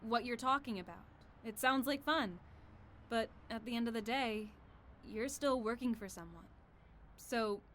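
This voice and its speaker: A woman's voice: fairly high pitch, measured pace, a bit bright, a little hiss or hum. A woman playing an ambitious exiled royal in an audio drama, with an imperious, earnest and assured way of talking. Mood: composed, curious. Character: proud, ambitious exiled young female royal, would-be conqueror.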